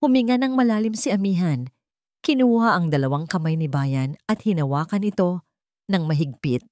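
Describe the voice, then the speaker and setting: A woman's voice: lowish voice, lively intonation, moderate pace, a little mellow, dry recording, studio quality. A woman reading a scripted romance story as a dramatic narrator.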